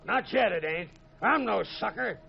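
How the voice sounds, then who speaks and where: Very gravelly, high-pitched crooked sea captain. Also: male voice, lively intonation, normal speed, full character voice. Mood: accusing, defiant. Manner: tough gangster slang, blustering. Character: crooked, hot-tempered male ship captain, suspicious underling. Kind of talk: 1950s radio detective drama acting. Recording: noisy, dull vintage radio recording.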